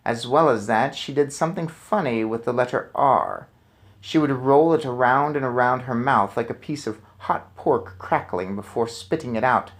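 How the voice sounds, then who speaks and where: A man narrates a children's story aloud in a mid-range voice. He phrases things deliberately and dramatically, as an expressive storyteller would. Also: medium pitch. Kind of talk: expressive children's book narration with casual asides.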